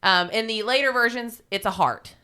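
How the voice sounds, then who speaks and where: A woman's voice: fairly high pitch, moderate pace, pushed and bright, clear, resonant tone. A woman podcast co-host casually retelling a fairy tale in an irreverent, salty-tongued, informal way.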